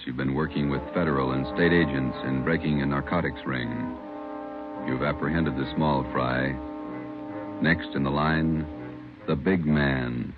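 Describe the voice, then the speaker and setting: A man announcing an old radio drama in a solemn, deliberate, staccato style of dramatic narration. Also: rumbling low. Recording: muffled old radio recording. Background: loud brass music.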